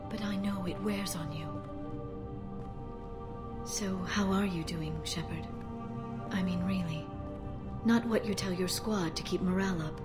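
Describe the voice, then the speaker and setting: A woman playing an earnest, soft-spoken young alien information broker in a video game, with a measured, gentle manner. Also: lowish voice, close to an everyday voice. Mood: caring. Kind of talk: video game cutscene acting. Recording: long hall reverb, game music behind.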